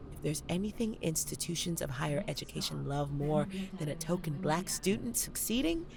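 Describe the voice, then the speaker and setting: A woman's voice: low voice, gravelly, background noise, exaggerated voice. A young woman with an American accent acting a savvy theater student in an audio play, sardonic and theatrical as she talks to the audience. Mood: frustrated, wry. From Detroit, United States. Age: young adult. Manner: theatrical, sardonic, swinging between confiding and performing. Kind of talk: dramatic monologue to the audience.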